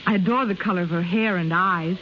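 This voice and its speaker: An actress playing a gushing young movie fan in the acting style of a 1930s radio advertisement. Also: female voice, on the low side, brisk, close to an everyday voice. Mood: admiring, excited. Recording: muffled, hissy 1930s radio broadcast recording.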